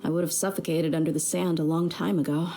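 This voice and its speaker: A woman's voice: low voice, steady tone, fast talker, dark tone, slight background noise. An actress playing a tough young woman mercenary in a video game, dry and weary with tenderness underneath. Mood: wistful. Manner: dry, weary, plain-spoken. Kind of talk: video game character dialogue.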